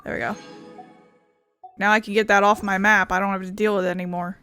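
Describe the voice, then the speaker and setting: A woman's voice: mid-range voice, flat delivery, fast, pushed and bright, faint music behind. A woman giving casual, chatty commentary as she plays a game, thinking aloud and swearing now and then. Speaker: gaming video host.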